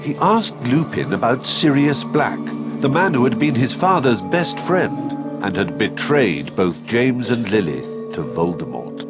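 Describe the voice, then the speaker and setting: An actor as a storybook narrator in a wizard adventure game, reading scripted narration expressively. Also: male voice, medium pitch, very animated, unhurried, hardly any pauses. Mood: dramatic storytelling. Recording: loud music under narration.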